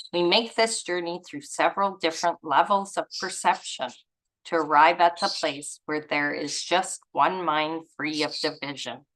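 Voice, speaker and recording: A woman's voice: deep voice, fairly bright tone, rough recording. A woman leading an online study gathering, reading aloud and adding casual, rambling comments as she searches for words. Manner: informal, chuckly, trails off and searches for words. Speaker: reader and leader of a spiritual study gathering. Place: online group video call.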